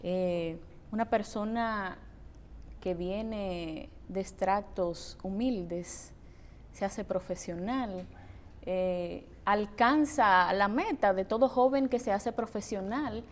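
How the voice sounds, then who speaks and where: A woman speaks as a television interview host. Her voice sits at an average pitch, and she lays out her questions deliberately, restating them as she builds toward the point. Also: a little hiss or hum.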